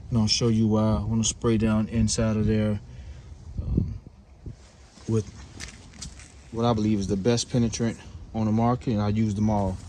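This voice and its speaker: A man hosting a casual hands-on car repair vlog, with a relaxed, chatty way of talking straight to his viewers. He is sniffly from the cold, with a runny nose, and speaks outdoors beside the car. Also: medium pitch, a little hiss or hum. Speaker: DIY mechanic and channel host.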